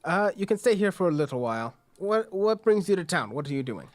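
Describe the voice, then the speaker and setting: A man plays a dry, blunt host in a tabletop game, wary of the strangers in the apartment. The voice sits a little high and moves freely in pitch, with a matter-of-fact delivery. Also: very animated, fairly quick.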